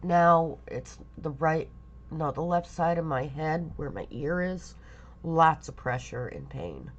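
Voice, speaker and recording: A middle-aged woman talking casually in a personal vlog, halting and rambling, stuffy from a lingering cold. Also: low voice, expressive, muffled recording. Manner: halting, rambling, many pauses and fillers. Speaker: vlogger.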